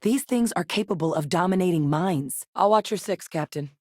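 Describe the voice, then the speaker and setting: Woman acting a low-voiced, determined scientist-soldier. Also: normal speed, darkish tone, dry recording, clean studio sound, natural voice. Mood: serious, measured. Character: seasoned, determined woman scientist and soldier, guarded but warm. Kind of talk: video game character dialogue.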